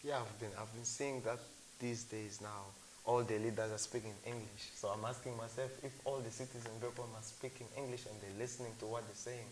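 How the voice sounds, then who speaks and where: A man's voice: medium pitch, steady tone, fairly quick, a little hiss or hum, poor audio. A man from Congo, sharing rambling, earnest opinions in a casual discussion. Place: Cape Town.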